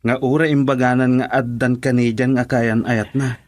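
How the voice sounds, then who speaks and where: A man's voice: mid-range voice, flat delivery, moderate pace, a little mellow, close to an everyday voice. A man playing a supportive male friend giving advice in a radio drama. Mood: reassuring.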